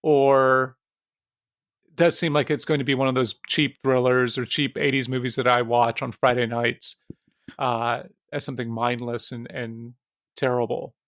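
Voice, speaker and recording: A man's voice: medium pitch, little pitch movement, measured pace, fairly bright tone, no room sound, studio quality. A man co-hosting a casual film podcast, talking in an offhand, joking way. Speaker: podcast co-host.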